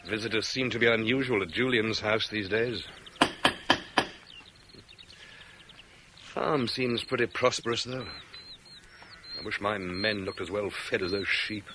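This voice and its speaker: An actor playing a weary middle-aged Roman tribune in a classic radio drama, measured, dry and soldierly. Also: male voice, lowish voice, background noise, natural voice. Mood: musing. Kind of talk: 1960s BBC radio drama acting.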